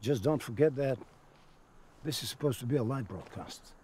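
A man's voice: medium pitch, moderate pace, mellow, natural voice. An actor in his sixties playing a weary, sardonic news cameraman in a film drama, speaking outdoors. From Hungary. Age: 60s. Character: weary, wry older male news cameraman. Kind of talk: film acting, dramatic dialogue. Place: outdoors in an open field. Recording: noisy open-air film dialogue.

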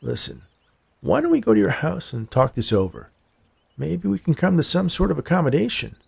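A man voicing a polite, persistent male land buyer in a story, with a calm, folksy, disarming manner. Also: on the high side, normal speed, creaky, breathy edge, pitched above the performer's own voice, full character voice. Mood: friendly.

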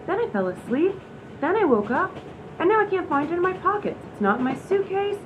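A woman's voice: very animated, brisk. A woman acting a scatterbrained, cheeky train passenger who dodges questions in a radio comedy. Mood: bewildered. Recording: muffled recording over loud train rumble.

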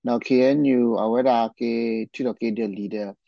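A man's voice: mid-range voice, steady tone, fast talker, gravelly, no room sound. A man speaking off the cuff in spontaneous commentary on a radio programme. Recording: slightly muffled shortwave radio programme audio.

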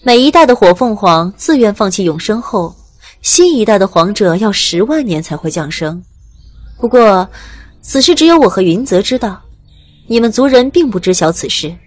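A woman playing an ancient, indulgent goddess in an audiobook drama, measured and authoritative. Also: mid-range voice, lightly acted. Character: ancient true goddess, commanding, indulgent, unhurried.

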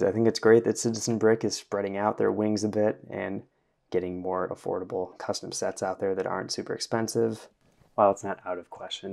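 A man giving a relaxed, conversational review of a building set, talking over his hands-on build. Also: on the low side, gravelly. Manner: relaxed, conversational, frequent "you know". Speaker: toy review vlogger. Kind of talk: casual product review.

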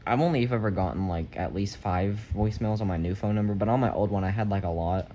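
A woman's voice: very deep voice, fast talker, mellow, highs cut off, a little hiss or hum. A young woman chatting casually and playfully, recorded on a handheld phone in a living room. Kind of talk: casual chat. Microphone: handheld phone camera.

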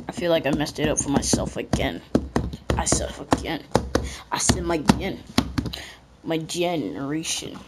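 A woman's voice: low voice, expressive, a little slow, long pauses, very noisy. A woman commentating a video game in a casual, rambling, self-mocking way, her voice worn as if she is losing it. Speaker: gameplay commentator. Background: game music and sounds.

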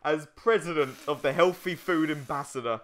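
A man's voice: fairly high pitch, normal speed. A young man in casual gaming banter as a co-host, given to playful, mock-boastful joking. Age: young adult. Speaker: let's play co-host.

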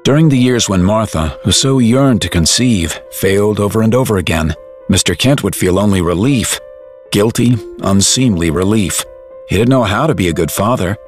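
Deep guy doing dramatic story narration, measured n thoughtful, bit of music under it. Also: lively intonation. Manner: measured, literary, reflective.

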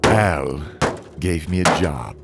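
Male actor as bitter stunt driver narrating a motion comic, very low register, wide dramatic swings, brooding. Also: lively intonation, gravelly, heavy background noise, full character voice. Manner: slow, brooding, theatrical, swinging in intensity. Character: bitter, haunted male demolition derby driver with a death wish. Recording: music bed behind the voice.

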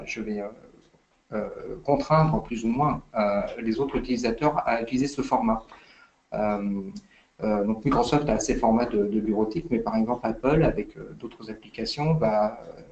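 A man's voice: mid-range voice, darkish tone, dull audio, a little hiss or hum. A man giving a webinar talk as invited expert, hesitant, with many fillers and restarts. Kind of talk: webinar explanation.

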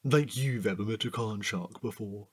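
A man's voice: lowish voice, normal speed, breathy edge. An actor playing a playful, easily bored, childishly eager man in an audio drama, indignant and teasing.

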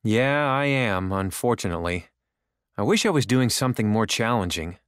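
Actor as a smooth-voiced discontented office man. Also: fairly low pitch, animated, moderate pace, dry recording, studio quality, natural voice. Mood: glum. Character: discontented male office worker. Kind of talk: scripted English listening-exercise dialogue.

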